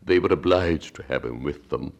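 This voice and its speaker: Drawling, touchy storybook turtle creature, voiced by a man, deep and slow. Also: vocal fry, darkish tone, highs cut off, heavily acted. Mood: gloomy. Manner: slow, drawling, easily offended. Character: slow melancholy male Mock Turtle creature.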